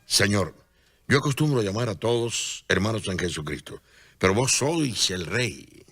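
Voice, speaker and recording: Radionovela: selfless, determined friar, earnest and declamatory, very low-pitched, animated intonation. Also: male voice, unhurried, faint music behind, full character voice. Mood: humble. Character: devout, selfless friar, ailing but determined. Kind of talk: solemn radio drama acting.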